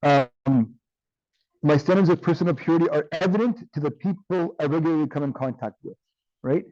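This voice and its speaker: A man teaching an online class with a tired, strained voice, often checking in with "right?" and filling pauses with um. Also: fairly high pitch, mellow, dry recording, lo-fi sound. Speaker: instructor.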